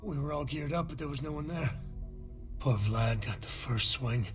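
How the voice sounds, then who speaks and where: An actor playing a battered, rueful crewman in a game cutscene, speaking in a weary, halting, colloquial way. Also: medium pitch, natural voice. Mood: weary, regretful. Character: battered, rueful male crew member recounting a defeat. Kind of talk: video game cutscene acting. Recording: clear music behind the voice.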